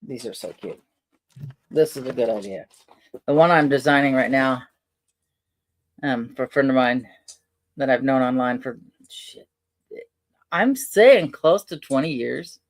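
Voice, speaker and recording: Soft-spoken rambling man, dry close sound. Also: mid-range voice, animated, measured pace, pauses often, no echo. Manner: rambling, chatty, many fillers and restarts. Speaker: vlogger unboxing and crafting. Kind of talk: casual chat while unpacking.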